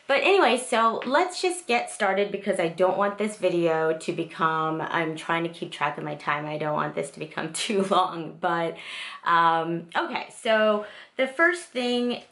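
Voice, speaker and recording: Low-voiced chatty woman vlogging at home. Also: creaky, a bit bright. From South Korea, raised in the United States. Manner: rambling and chatty, many fillers and restarts. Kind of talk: casual get-to-know-me vlog. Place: home music studio and office, indoors. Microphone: camera in front of her.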